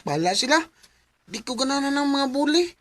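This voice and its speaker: A cheeky young Cebuano buddy in a radio drama. The voice is high and bright, swinging freely in pitch with a carefree, affectionate manner. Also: male voice, lively intonation, brisk. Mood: defiant, friendly. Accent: Cebuano Filipino. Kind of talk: Bisaya radio drama acting.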